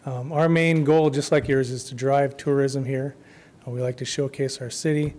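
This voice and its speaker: Man, hoarse, losing his voice, festival organizer, public comment at a council meeting, informal, trails off. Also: medium pitch, fairly even intonation, normal speed, slight background noise. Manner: informal, many ums, trails off quietly at phrase ends. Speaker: festival co-chair speaking to city commission. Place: council chamber.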